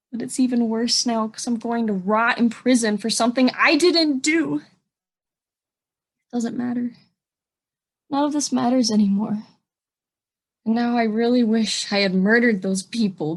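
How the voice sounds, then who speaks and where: A woman acting in an audio drama as a bitter, defiant young murder suspect, indignant and sardonic. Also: a little high. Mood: bitter, despairing.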